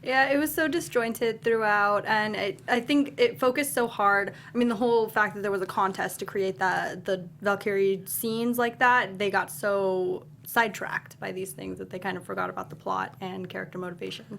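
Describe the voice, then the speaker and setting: A woman with a medium-pitched voice full of vocal fry speaks as co-host on a panel. Her talk is casual and conversational, and some background noise sits behind it. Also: fairly even intonation, normal speed, hardly any pauses, fairly bright tone. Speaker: co-host of a film review panel show.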